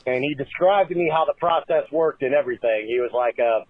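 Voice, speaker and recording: A man calling in to leave a rambling phone message, halting with many fillers and restarts. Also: medium pitch. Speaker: caller. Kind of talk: recorded voicemail story. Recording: tinny phone line.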